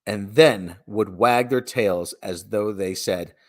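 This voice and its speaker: A man giving a dramatic reading of a story, with theatrical, deliberate and emphatic phrasing. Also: lowish voice. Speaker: reader and streamer.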